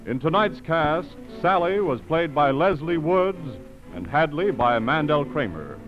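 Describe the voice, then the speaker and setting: American man, radio announcer, formal scripted 1940s delivery, measured, dull old recording, light music. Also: fairly high pitch, sing-song.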